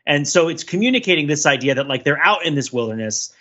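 Male podcast co-host, bright and husky, casual but intellectual and discursive, lo-fi recording. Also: fairly high pitch, animated, fast talker. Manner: discursive, hedging, intellectual way of talking. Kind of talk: casual analytical discussion of a TV show.

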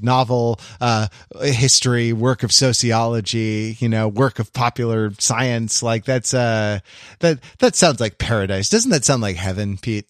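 A man co-hosting a podcast in casual conversation, rambling and halting as he circles back to rephrase his thoughts. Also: medium pitch, no echo, clean studio sound. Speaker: podcast co-host.

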